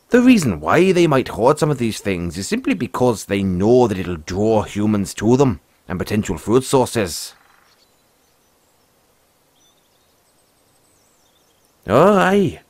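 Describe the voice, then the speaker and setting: A man voicing a friendly, philosophical dragon rider and gentle mentor in an audio roleplay, speaking in a folksy, earnest, musing way. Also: fairly low pitch, dry recording. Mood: earnest, explaining. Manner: folksy, earnest, musing, says "aye" and "lad". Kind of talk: audio roleplay addressed to the listener.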